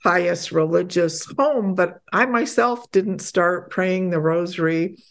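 A middle-aged woman from Poland, a podcast guest chatting casually, laughing often and getting animated when excited. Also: lowish voice, slow. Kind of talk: casual interview chat.

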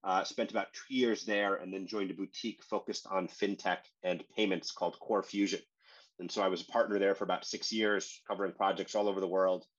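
An American man, a startup co-founder, recounting his career in a relaxed, conversational interview manner. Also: sits low, a little fast, lo-fi sound. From United States. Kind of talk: podcast interview.

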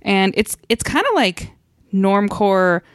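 A woman's voice: medium pitch, lively intonation, slow pace, dry recording, studio quality. A woman co-hosting a casual podcast. She talks playfully, pokes fun at herself, and peppers her speech with "like". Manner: playful, self-deprecating, chatty with many "like"s. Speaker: podcast co-host.

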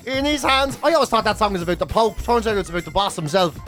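A man's voice: high voice, animated, fast, fairly bright tone, soft background music. An Irish man hosting a radio show with casual, irreverent comic banter and slangy storytelling.

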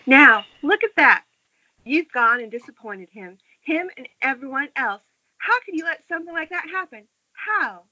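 A woman's voice: high voice, lively intonation, normal speed, bright, forceful tone, heavily acted. A woman playing a menacing, reproachful tormentor in a screenplay table read over a dull internet call.

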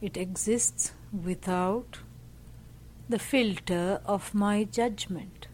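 A woman leading a guided meditation as a teacher, speaking gently in short, measured phrases with pauses. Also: mid-range voice, a little hiss or hum, poor audio. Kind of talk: slow guided meditation narration.

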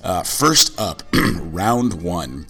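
Deep, scratchy-voiced male podcast host. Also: big pitch swings, drawn-out words, a bit bright, slightly rough. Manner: chatty, enthusiastic, many fillers. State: hoarse from a cold. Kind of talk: casual chat.

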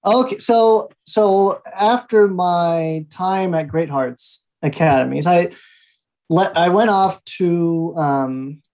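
A man in his thirties from Mexico, speaking as an interview guest, telling his story in a relaxed way with frequent fillers and small laughs. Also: high voice, slow pace. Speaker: guest, language institute founder. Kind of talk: conversational interview answers.

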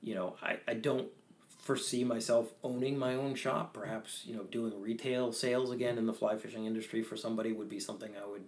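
A man's voice: mid-range voice, fairly even intonation, brisk. A young man from Maine, a fly tyer, chatting as a guest in a casual interview with a humble, talkative manner and frequent restarts.

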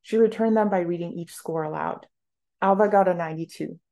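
A woman's voice: fairly low pitch, brisk, gravelly, warm tone. A woman author reading literary prose aloud from her own novel in a narrative style.

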